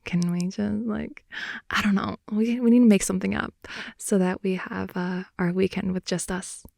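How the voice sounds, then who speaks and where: A young woman playing a playful, clingy girlfriend in an improvised ASMR roleplay, rambling softly and intimately close to the mic. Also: medium pitch, little pitch movement, moderate pace, creaky, no room sound. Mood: scheming, coaxing. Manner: soft, intimate, rambling, giggly, often dropping to whisper. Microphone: close headphone-oriented ASMR mic.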